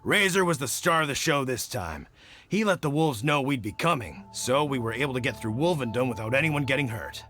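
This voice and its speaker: A man's voice: mid-range voice, lively intonation, a little fast, pushed and bright, faint music behind. An actor playing a genial, thoughtful middle-aged hunter and father in a video game, folksy and reassuring in manner. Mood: hearty. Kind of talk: video game cutscene acting.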